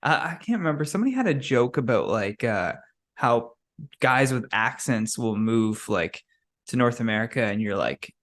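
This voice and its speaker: A man with a mid-pitched voice hosts a relaxed podcast chat. He riffs on jokes and laughs easily between his remarks. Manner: chatty, quick to laugh and riff. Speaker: podcast host. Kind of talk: casual chat.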